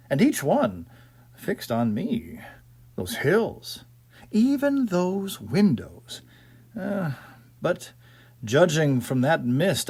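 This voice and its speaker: Man playing a fussy, animated gentleman muttering to himself. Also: a little high, slightly rough, heavily acted.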